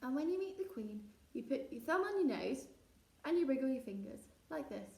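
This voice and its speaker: A woman's voice: high-pitched, very animated, normal speed, gravelly, darkish tone, heavily acted. A woman reading a children's storybook, voicing a mischievous young boy with a taunting, bossy, mock-authoritative manner. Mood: bossy, scheming. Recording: roomy echo, distant mic.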